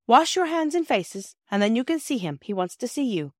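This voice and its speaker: A woman reading the part of a gentle, measured mother in an audiobook. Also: on the high side, big pitch swings, dry recording, studio quality, voice pushed up.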